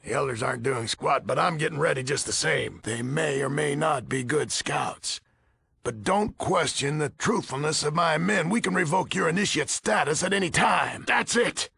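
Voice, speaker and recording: Gravelly, gruff old American commander. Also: male voice, on the high side, normal speed, sharp tone. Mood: stern, angry. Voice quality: gravelly, raspy. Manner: folksy, blunt, grumbling. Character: gruff, weary old military order leader, blunt. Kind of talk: video game character dialogue.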